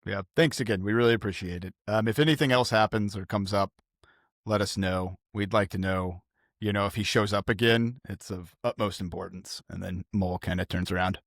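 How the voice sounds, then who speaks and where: A man playing a tabletop roleplaying game, improvising casually in a joking, halting, slangy way. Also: lowish voice, fairly even intonation, no room sound, studio quality.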